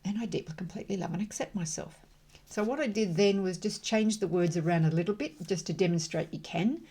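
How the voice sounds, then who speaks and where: A middle-aged woman facilitating emotional freedom tapping, repeating affirmation phrases slowly and rhythmically between calm, chatty explanations. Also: fairly low pitch, breathy edge. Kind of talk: guided tapping demonstration.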